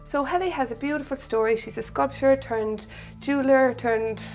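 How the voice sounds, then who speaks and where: A woman hosting a casual interview, upbeat and effusive, introducing her guest and reacting warmly to her answers. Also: high-pitched, moderate pace, soft background music. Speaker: interviewer, host.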